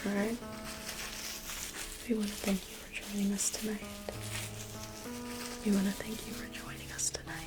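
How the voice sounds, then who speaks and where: A woman in an ASMR roleplay, playing a private museum guide in a slow, coaxing whisper that repeats phrases. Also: level tone, loud music behind the voice, poor audio. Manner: coaxing sales-pitch tone.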